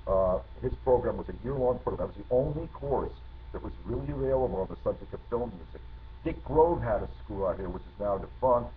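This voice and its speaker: A man's voice: on the low side, animated, a little fast. A middle-aged man from New Jersey, a guest composer telling rambling, reflective anecdotes with many restarts. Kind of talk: storytelling interview answers. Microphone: video call. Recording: tinny lo-fi line with faint noise.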